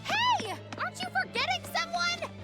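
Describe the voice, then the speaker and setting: A woman's voice: very high-pitched, very animated, moderate pace, music in the background, heavily acted. A woman playing a flippant, mischievous young witch in a video game, teasing and theatrical. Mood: indignant, playful.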